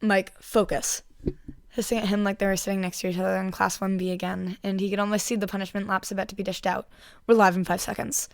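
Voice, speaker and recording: A woman's voice: medium pitch, little pitch movement, racing, creaky, few pauses, no room sound. A young woman reading story narration for an audio fan fiction while sick. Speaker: podfic narrator.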